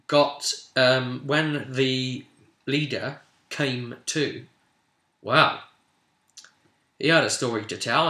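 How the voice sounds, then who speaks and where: A man's voice: medium pitch, bright, forceful tone. A man casually recapping a tabletop role-play session as game master, telling it like a story and breaking off into asides. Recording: roomy, echoey room sound.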